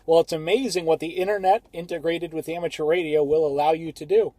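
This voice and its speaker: A man's voice: fairly high pitch, fairly even intonation, fast talker, mellow, no echo. A young American man chatting casually as an amateur radio operator, recorded inside a car. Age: young adult. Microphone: phone camera facing him, close.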